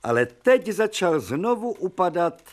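An actor playing an authoritative, ironic professor of medicine, lecturing and declaiming in a radio drama. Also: male voice, sits high, very animated. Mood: dry, authoritative.

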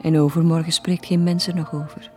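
An actress with a Flemish accent playing a village gossip relishing a scandal in a radio play. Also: female voice, low-pitched, little pitch movement, fairly quick, warm tone, faint music behind, natural voice. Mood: regretful gossip. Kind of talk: 1980s radio play acting.

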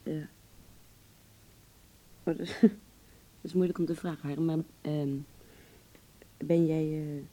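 A woman's voice: deep voice, fairly even intonation, takes it slowly, pauses often, mellow. An actress playing a vulnerable, searching young woman with a blunt streak in a Dutch radio play, speaking hesitantly and with intimate emotion. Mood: fragile, searching.

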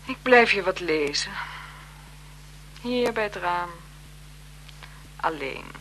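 A woman's voice: lowish voice, animated, slow, fairly bright tone, a little hiss or hum, heavily acted, rough recording. An actress playing a haughty married aristocratic lady, secretly seductive and evasive, in a poised, languid 1970s radio drama style. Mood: cool, dismissive.

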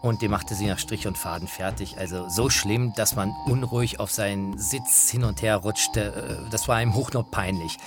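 A man's voice: on the low side, moderate pace, few pauses, music in the background. A man narrating anecdotes about the opera world as spoken storytelling, animatedly slipping into quoted dialogue.

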